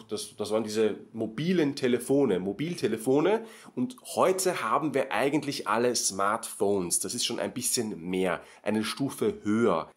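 A man talks learners through a lesson at a careful pace, restating each point in simpler words. His voice sits at a middling pitch, and he brightens a little when he acts out the questions learners might ask. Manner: repetitive, rephrases often, acts out example questions. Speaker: German teacher. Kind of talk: slow explanatory lesson for learners.

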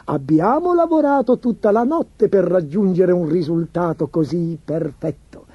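A man voicing a sly swindling tailor from a fairy tale, with showy, drawn-out flattery. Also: high-pitched, breathy edge, no room sound, highs cut off, full character voice. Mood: oily flattery.

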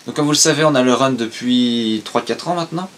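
A man's voice: medium pitch, a little fast, slight background noise. A young man co-hosting a casual chat, steadily explaining news and announcements. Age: young adult. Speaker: co-host, son. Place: room with shelves of toys and merchandise.

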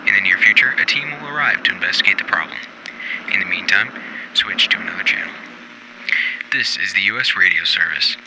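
An actor playing an impassive official announcer giving a formal emergency radio bulletin. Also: male voice, lowish voice, fairly quick, bright, forceful tone, slight background noise, low-quality recording. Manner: formal, repetitive bulletin delivery.

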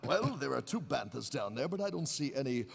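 A man's voice: mid-range voice, big pitch swings, brisk, gravelly, breathy edge, heavily acted. A middle-aged man voicing a bombastic, dim cartoon hero in a hammy comic table read. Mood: excited. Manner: hammy, swooping. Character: bombastic, dim cartoon superhero playing a young farm boy. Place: convention panel stage with audience. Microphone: table microphone.

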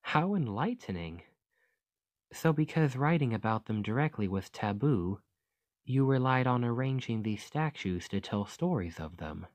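A man playing a gentle, curious fantasy archaeologist in an ASMR roleplay, speaking in a formal, musing, articulate way. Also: medium pitch, lively intonation, measured pace, dry recording, lightly acted. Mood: kindly, earnest.

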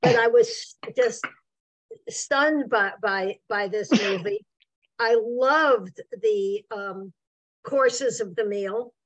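A woman's voice: fairly high pitch, very slow, dry recording, rough recording. An older woman on a video call from home, enthusiastic and stumbling over her words. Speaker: discussion participant. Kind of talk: casual chat on a video call. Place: home room.

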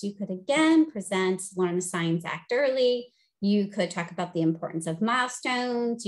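A middle-aged woman with a high-pitched voice, teaching a webinar over a video call with an upbeat, friendly delivery full of "um"s. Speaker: presenter and teacher. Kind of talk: instructional webinar presentation. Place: home office, video call.

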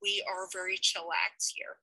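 Bright young woman on a headset. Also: medium pitch, fairly even intonation, measured pace, dry recording, low-quality recording. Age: young adult. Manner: chatty, loose, with fillers. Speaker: project lead of a fan dub. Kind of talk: casual video call update. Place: small room indoors.

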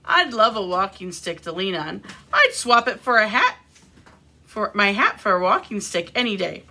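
A weary storybook scarecrow, read by a woman. The tone is wishful and plaintive, in a bright voice with lively pitch. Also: moderate pace, exaggerated voice. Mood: wistful. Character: weary, wistful scarecrow longing for rest. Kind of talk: children's storybook read-aloud.

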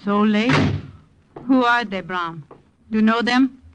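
A woman's voice: a little high, slow, muffled recording. A woman acting a weary, fearful middle-aged Dutch aunt in an old wartime radio drama, speaking sorrowfully. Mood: afraid. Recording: noisy old-time radio recording.

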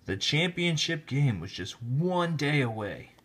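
A man reading a children's story aloud in an expressive, deliberate storytelling manner, with casual asides. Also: a little high, breathy edge. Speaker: storybook reader, teacher. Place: indoors, filming the book held in hand.

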